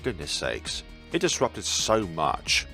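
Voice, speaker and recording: Male gaming commentator, casual and reflective, very varied pitch, music underneath. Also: wildly swooping pitch, slow pace, vocal fry, bright, forceful tone. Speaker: video game playthrough narrator. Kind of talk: casual let's-play commentary, reading dialogue aloud. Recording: background game music. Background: music from the visual novel.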